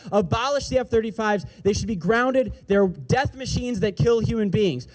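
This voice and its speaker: A man's voice: very high-pitched, darkish tone, low-quality recording. A man giving an impassioned speech at an outdoor rally as an activist organizer, angry and emphatic, repeating slogans.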